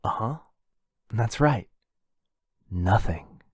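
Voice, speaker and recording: A man's voice: on the low side, expressive, drawn-out words, breathy edge, no echo, studio quality, full character voice. A man playing a lonely, possessive, rich vampire brother in a boyfriend ASMR roleplay, insistent and coaxing. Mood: eager, inviting.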